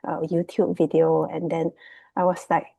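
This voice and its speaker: A Singaporean woman with a husky, fairly low voice gives an informal presentation. The sound is dry and close, and her delivery is hesitant and loosely phrased. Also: flat delivery, moderate pace, dark tone, no echo. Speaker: guest, property investment student. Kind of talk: casual unscripted presentation. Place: remote video call.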